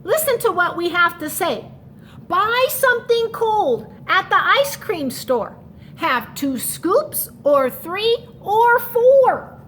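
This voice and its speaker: Helpful, eager young children from a picture book, acted out by a woman reader. The voice is high, exclaiming and urging, with big swings in pitch. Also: very animated, slow, fairly bright tone, slight background noise, exaggerated voice. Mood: urgent, helpful.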